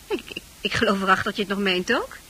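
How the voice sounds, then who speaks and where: An actress in a Dutch radio play playing a scornful, proud prostitute with a brash, mocking manner. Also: female voice, medium pitch, big pitch swings, a little fast, bright, forceful tone, a little hiss or hum. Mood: scornful, impatient. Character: hard, scornful prostitute, proud, wounded underneath.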